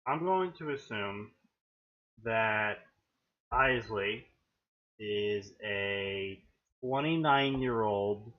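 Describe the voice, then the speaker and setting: A man's voice: lowish voice, expressive, drawn-out words, pauses often. A man co-hosting a fanfiction-reading stream, rambling with dry, wry jokes in casual banter. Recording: dull lo-fi mic.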